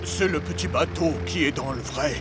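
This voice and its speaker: An actor voicing a gentle, dreamy rail zeppelin in a cartoon dub, sighing and easily discouraged. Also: mid-range voice, normal speed, music in the background, natural voice. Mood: determined.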